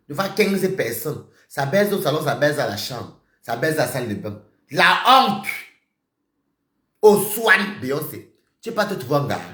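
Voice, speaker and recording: A man ranting on a live stream, accusing someone directly. He repeats himself and swings between shouting and muttering. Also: on the high side, roomy sound. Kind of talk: heated live-stream rant.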